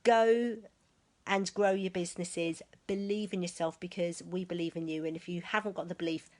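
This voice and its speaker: A woman's voice: lowish voice, fairly even intonation, moderate pace, darkish tone. Chatty woman leading a sales team. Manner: chatty and encouraging, reads viewers' comments aloud. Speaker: sales team leader hosting a live stream. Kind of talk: casual live-stream chat.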